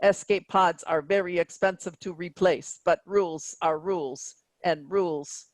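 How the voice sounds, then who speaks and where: A woman's voice: on the low side, steady tone, unhurried, dry recording, close to an everyday voice. A woman playing a stern, coldly officious robot captain of a spaceship in a staged play reading, with a formal, rigid delivery. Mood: cold, final.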